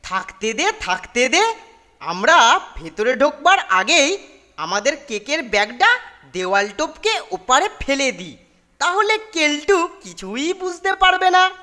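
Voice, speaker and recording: A woman voicing a mischievous schoolboy prankster with a lively, conspiratorial manner. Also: high voice, a little slow, heavily acted. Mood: plotting.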